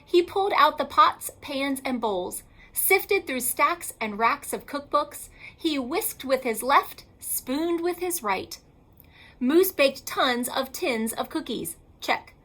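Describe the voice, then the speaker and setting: A woman reading a children's picture book aloud like a schoolteacher, in a theatrical, emphatic and deliberate way. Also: high-pitched, fairly bright tone.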